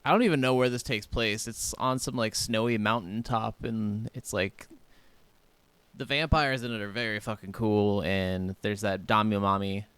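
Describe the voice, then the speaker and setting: A man's voice: fairly low pitch, fairly even intonation, moderate pace, fairly bright tone, smooth, no echo. A man co-hosting a gaming podcast, chatting casually in a rambling, slangy way with offhand swearing. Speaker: podcast co-host.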